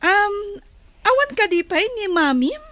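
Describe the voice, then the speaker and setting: A woman's voice: extremely high pitch, very animated, a little slow, bright, forceful tone, exaggerated voice. A woman playing an affectionate, persuasive godmother in an audio drama, doting and gushing. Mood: delighted.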